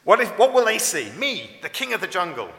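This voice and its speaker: A boastful young monkey from a children's storybook, acted out by a man, struts through every phrase in a high, bright, forceful voice. He brags showily and plays the lion, with long hall reverb around him. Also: very animated, brisk, heavily acted. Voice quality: roaring, turning squeaky when scared. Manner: bragging, showy. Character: boastful cocky young male monkey playing at being a lion. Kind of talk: children's storybook read aloud.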